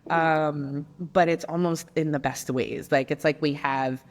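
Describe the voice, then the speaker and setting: Young woman, Jamaican accent, lively storyteller guest, casual chat. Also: deep voice, measured pace, in a large hall. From South Florida, US, raised partly in Jamaica. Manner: animated storyteller, lots of "like".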